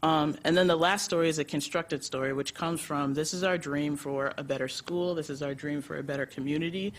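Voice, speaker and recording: Animated, chatty woman speaking on a panel. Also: low-pitched, brisk. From United States. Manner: animated, chatty storytelling with frequent laughs and fillers. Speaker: nonprofit executive director, panel speaker. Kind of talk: conference panel talk.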